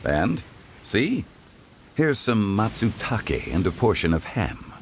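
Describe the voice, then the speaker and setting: A man playing a dignified, courteous, scholarly gentleman in a video game, speaking formally and eloquently. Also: on the low side, big pitch swings, takes it slowly, noisy background. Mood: calm, mildly rueful. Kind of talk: polished video game voice acting.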